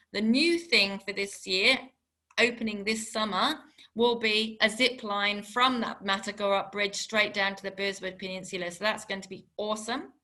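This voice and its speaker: A woman's voice: medium pitch, bright, forceful tone. A woman from the Channel Islands with a British accent touched by Australian, giving an enthusiastic, chatty webinar presentation with many fillers over a video call. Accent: British with some Australian. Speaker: tourism board guest speaker.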